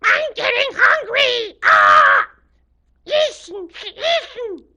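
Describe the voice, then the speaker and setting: Storybook crow, male, vain and greedy, performed by a woman, sky-high squawky pitch, swooping wildly, repeating itself. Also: wildly swooping pitch, very slow, pushed and bright, exaggerated voice. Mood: hungry, demanding. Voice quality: squawking, harsh. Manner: boastful, repeats itself in cawing bursts.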